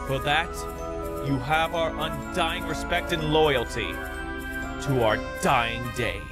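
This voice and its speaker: A man's voice: on the high side, takes it slowly. A man playing a loyal, battle-hardened, earnest soldier in a dramatic comic dub. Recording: loud music over voice.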